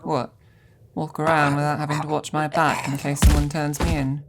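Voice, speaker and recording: Brash fantasy bandit played by a woman, low register, threatening and curt, slightly noisy recording. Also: little pitch movement, normal speed. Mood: wary, sardonic. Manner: blunt, impatient. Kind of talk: fantasy audio roleplay acting.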